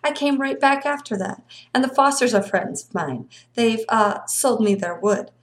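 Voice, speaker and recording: A woman voicing a courteous but sinister bearded man in a yellow suit, speaking smoothly and patiently in a storybook reading. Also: on the high side, moderate pace, roomy sound, lightly acted. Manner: smooth, polite, quietly impatient.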